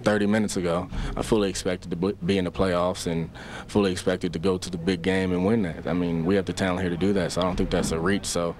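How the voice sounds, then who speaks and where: Very deep athlete, crowd behind. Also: male voice, level tone, fast talker. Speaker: football player interviewed. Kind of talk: post-game interview. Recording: busy chatter behind.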